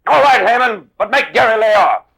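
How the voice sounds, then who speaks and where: A man's voice: high-pitched, lively intonation, fairly quick, creaky, sharp tone, husky, full character voice. A man playing a cool, wry American adventurer-investigator in 1940s radio drama style, speaking in a clipped, dry, hardboiled way. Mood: urgent, wry. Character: cool, wry American male adventurer-investigator, narrating his own case. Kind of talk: 1940s radio drama acting with first-person narration.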